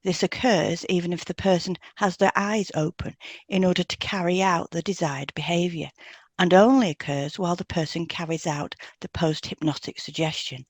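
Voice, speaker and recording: A woman with a somewhat low-pitched voice co-hosts an educational podcast. She reads scripted explanations, and the sound is very dry with no room echo. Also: steady tone, normal speed. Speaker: podcast co-host, hypnotherapist.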